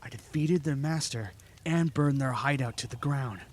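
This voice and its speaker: An actor playing an earnest, dutiful young knight in a fan audio drama. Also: male voice, mid-range voice, moderate pace, a little mellow, close to an everyday voice. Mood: troubled.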